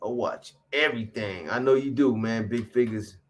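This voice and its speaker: A man's voice: mid-range voice, normal speed. A man in his fifties hosting a casual livestream, answering viewer comments in a laid-back, profane, slang-heavy way. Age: 50s.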